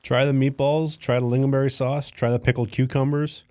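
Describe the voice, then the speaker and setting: A man co-hosting a podcast with casual banter and deadpan joking. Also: medium pitch, a little fast. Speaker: podcast co-host.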